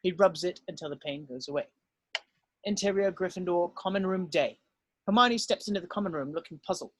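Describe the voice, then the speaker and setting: A man's voice: high-pitched, a little fast, husky, no room sound. A man narrating, reading screenplay stage directions aloud at a script table read.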